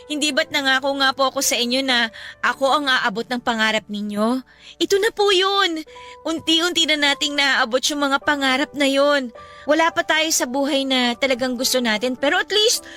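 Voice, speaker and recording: A woman's voice: sits high, sharp tone. A young woman playing a devoted, determined grown daughter in a radio drama, earnest and sentimental, with a Filipino accent. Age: young adult. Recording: music bed behind the voice.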